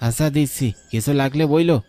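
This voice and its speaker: A man's voice: a little high, quick pace, warm tone, soft background music, lightly acted. A man playing a concerned, superstitious villager in a horror cartoon, earnest and cautioning, with a rural colloquial Bengali accent. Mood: sympathetic.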